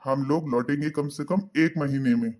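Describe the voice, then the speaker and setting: A man voicing an elderly, pious, accommodating father in a cartoon, soft-spoken and reasoning. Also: on the high side, normal speed, natural voice. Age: older. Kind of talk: cartoon voice acting.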